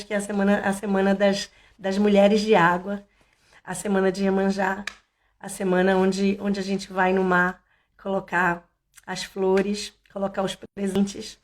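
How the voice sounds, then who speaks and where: Hoarse woman chatting on a live stream. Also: mid-range voice. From Salvador, Bahia, Brazil. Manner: chatty, meandering, many fillers and restarts. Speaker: tarot reader hosting a weekly live. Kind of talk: casual live-stream chat.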